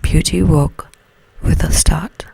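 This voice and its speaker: A woman's voice: sits low, fairly even intonation, low-quality recording. Whispering woman narrating a bedtime story. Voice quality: breathy whisper. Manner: gentle, slow, soothing.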